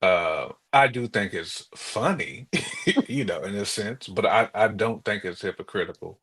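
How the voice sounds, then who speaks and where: A man with a fairly low voice talks as a podcast co-host. His delivery is casual and colloquial, working toward each point in halting phrases. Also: lively intonation, a bit bright, breathy edge. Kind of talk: casual relationship podcast chat.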